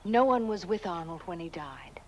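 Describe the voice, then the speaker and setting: An actress playing an anxious, scheming aristocratic wife in a horror comedy film, theatrical and pleading. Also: female voice, lowish voice, lively intonation, normal speed, a little mellow, highs cut off, slight background noise, lightly acted. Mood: frightened, pleading.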